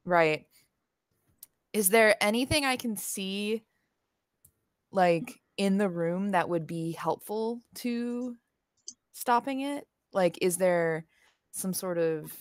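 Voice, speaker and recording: A woman's voice: mid-range voice, takes it slowly, long pauses, clean tone, no room sound, studio quality. A woman playing a tabletop role-playing game, chatting casually as she talks through her moves.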